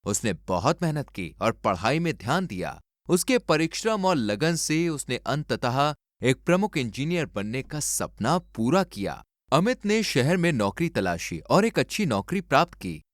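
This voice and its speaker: A man's voice: medium pitch, big pitch swings, measured pace, no echo, clean studio sound. A man reading a scripted moral story as a storyteller.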